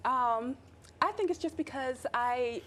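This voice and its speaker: A woman's voice: high voice, unhurried, a bit bright. A woman in her forties being interviewed on TV, candid and a little hesitant. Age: 40s. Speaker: reality show guest. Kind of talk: TV interview answers.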